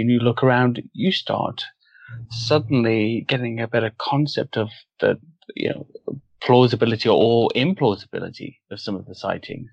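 A man who grew up in Wales, without a Welsh accent, talking as a guest in a casual interview and pausing thoughtfully to find his words. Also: on the low side, creaky, breathy edge, dry recording. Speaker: guest author. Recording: dull, dry lo-fi audio.

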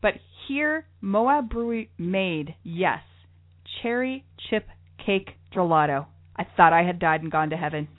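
A woman's voice: on the low side, expressive, unhurried. A woman co-hosting a travel show, talking in a bubbly, chatty way and laughing often. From lived in New York City. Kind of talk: casual chat. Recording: tinny phone-like line.

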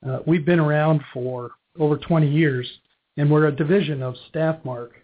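A man's voice: on the high side, fairly even intonation, moderate pace. A middle-aged American man giving conversational answers as a business guest in an interview. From Wisconsin, United States. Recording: muffled narrow phone-like audio.

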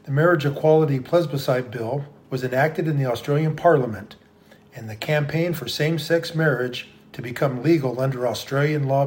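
A man reading a story's narration in an even, steady way. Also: medium pitch.

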